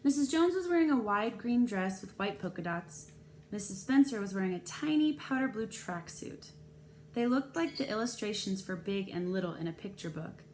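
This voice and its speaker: A woman's voice: medium pitch, darkish tone. Woman reading a children's novel, noisy recording. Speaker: storybook reader. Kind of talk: expressive read-aloud narration of a children's novel. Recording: clear background noise.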